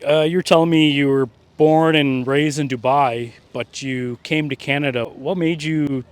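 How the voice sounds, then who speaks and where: A man's voice: on the high side, steady tone, unhurried, clean tone. A man asking questions as a street interviewer, encouraging and often repeating words. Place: outdoors on a city street.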